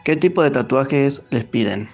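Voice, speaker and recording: A man's voice: mid-range voice, expressive, unhurried, lo-fi sound, music in the background. A man putting short prepared questions to a guest as a radio interviewer.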